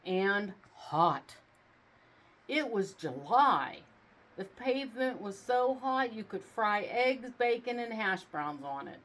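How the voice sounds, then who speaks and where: A woman reading a storybook aloud in an expressive storytelling style, with casual asides. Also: mid-range voice, slow. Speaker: storybook reader.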